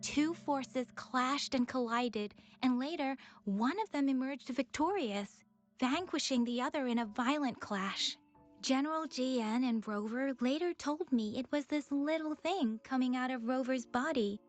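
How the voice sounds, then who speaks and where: An earnest young woman soldier from a video game. Her voice is high and composed. She explains things with patience and care in measured phrases, with soft music underneath. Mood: earnest, explanatory. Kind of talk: scripted video game dialogue.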